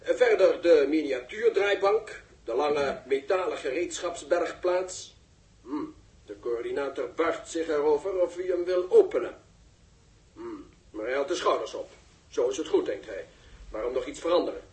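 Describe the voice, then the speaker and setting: An actor plays an eager male reporter in an old science fiction radio play. His voice is high and soft-edged, explaining everything in florid, dramatic detail. It sounds echoey and set back from the microphone. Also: expressive, moderate pace, recorded from a distance, close to an everyday voice. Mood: hushed, explanatory. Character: eager male television reporter giving live spaceship commentary. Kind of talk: 1960s radio drama live commentary.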